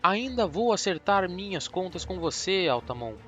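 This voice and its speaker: A man's voice: sits high, big pitch swings, moderate pace, pushed and bright, faint music behind, heavily acted. A man voicing a captured German spy, bitter and threatening. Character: German male spy, captured, furious and vengeful.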